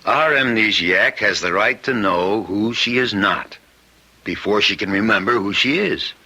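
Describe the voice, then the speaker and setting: A pragmatic hospital doctor in an old-time radio play. His low voice is husky and very sharp, with a brisk, businesslike way of talking. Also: full character voice. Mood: firm, concerned. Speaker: radio drama actor.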